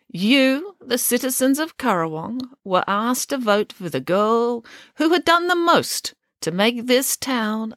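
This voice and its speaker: A woman plays a formal club president in a children's audiobook. She speaks as if from a podium, deliberate and ceremonious, with a raised, lively pitch in a dry recording. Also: no echo, exaggerated voice. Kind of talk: children's audiobook character acting.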